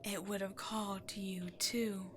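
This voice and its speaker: A woman playing an imperious, scheming demon queen in a dramatic audio roleplay, seductive and easily exasperated, moving between outbursts and breathy near-whispers. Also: medium pitch, flat delivery, unhurried, a little hiss or hum, natural voice. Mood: low, probing. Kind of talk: scripted audio roleplay, theatrical monologue to the listener.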